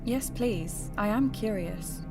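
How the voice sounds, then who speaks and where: A woman playing a curious, polite podcast host and investigator, speaking in a measured, everyday way as in a scripted audio drama. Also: medium pitch, over loud music. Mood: hesitant, curious. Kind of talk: scripted narration and staged interview.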